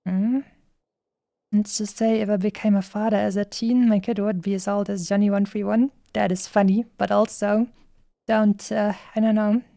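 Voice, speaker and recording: A woman with a mellow, mid-pitched voice and plenty of vocal fry chats casually as she streams a game. She muses and rambles playfully into a close, dry microphone. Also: little pitch movement, normal speed, no echo. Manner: musing, playful, rambling asides. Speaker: streamer playing a video game. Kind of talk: casual livestream chat and commentary.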